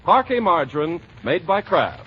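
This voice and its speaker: A man's voice: a little high, very animated, moderate pace. A man announcing and selling in a polished, enthusiastic 1940s radio advertising style. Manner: polished, enthusiastic salesman delivery. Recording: slightly dull, hissy 1940s radio recording.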